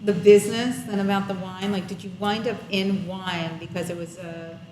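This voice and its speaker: A woman's voice: medium pitch, flat delivery, normal speed. A woman hosting an interview, chatty and encouraging, with frequent short agreements. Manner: warm, chatty, many backchannels. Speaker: host. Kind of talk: casual interview. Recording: echoey room with background noise.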